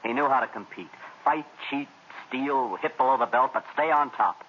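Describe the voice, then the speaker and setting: An actor playing an excitable young jazz band drummer who talks in eager, chatty jive slang, in 1940s radio acting. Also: male voice, medium pitch, moderate pace, close to an everyday voice. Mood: admiring. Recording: old radio broadcast, dull with faint hiss.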